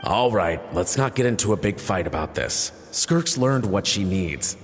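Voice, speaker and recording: An actor voicing an easygoing, indulgent father in a game, relaxed and gently teasing. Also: sits low, moderate pace. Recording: game audio with long reverb and faint noise.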